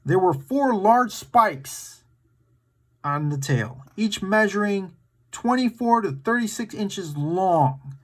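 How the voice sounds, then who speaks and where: A man reads aloud for a children's story time with a very lively, swooping delivery. He takes his time, breaking into casual asides and excited exclamations. His manner is playful and dramatic. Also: sits high, takes it slowly. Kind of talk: animated read-aloud with casual asides to young viewers.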